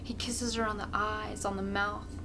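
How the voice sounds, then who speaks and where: A woman's voice: medium pitch, flat delivery, normal speed, background noise. A woman amateur reader giving an intense, theatrical narration of a classic novel.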